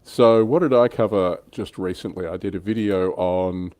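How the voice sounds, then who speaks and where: A man's voice: low-pitched, normal speed, darkish tone, no echo. A man hosting a hobby livestream in a casual, chatty way, lightly teasing and prompting others. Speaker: host of a tabletop wargaming livestream.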